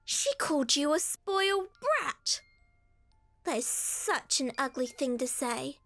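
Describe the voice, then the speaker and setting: Creepy little doll girl, super high voice, coaxing and scheming, radio horror play. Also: lively intonation, slow, a bit bright, no room sound, soft background music, heavily acted. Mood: poisonous, scheming. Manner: coaxing, insinuating. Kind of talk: radio horror drama acting.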